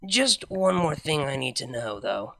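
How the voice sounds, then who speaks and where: A man's voice: medium pitch, sing-song, a little slow, gravelly, bright, forceful tone, soft background music, heavily acted. A man voicing a blunt, fiery young mare. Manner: blunt, matter-of-fact.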